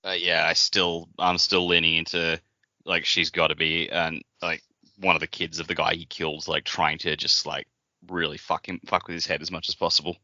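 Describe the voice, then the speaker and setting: A man with a deep voice and a hard, forceful edge chats casually as a podcast co-host. He is informal and blunt. The sound is dry and a little lo-fi. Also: little pitch movement, moderate pace, no room sound. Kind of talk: casual chat.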